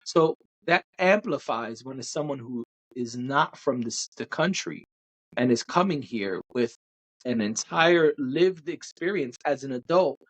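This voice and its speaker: A young adult man from Brooklyn, New York, co-hosting a podcast and talking at length in an animated, emphatic way. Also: mid-range voice, measured pace, low-quality recording. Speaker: podcast co-host. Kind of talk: casual chat.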